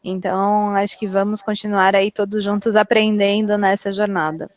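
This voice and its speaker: A woman's voice: mid-range voice, flat delivery, normal speed, muffled recording. A woman giving reflective closing remarks as a webinar panelist, with frequent fillers.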